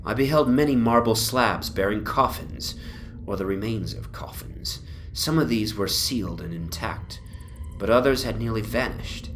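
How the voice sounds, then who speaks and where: A man voicing an obsessed, morbid gentleman in a dramatic Gothic horror reading, with deliberate phrasing that often sinks into breathy whispers. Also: fairly low pitch, lightly acted. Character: obsessed, morbid gentleman recounting his haunted past. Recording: ambient soundscape noise behind the voice. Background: atmospheric horror soundscape.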